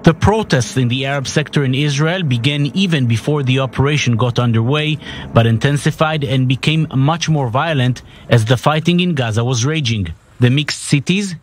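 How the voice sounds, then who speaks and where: A man reading a scripted news report as a narrator. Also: mid-range voice.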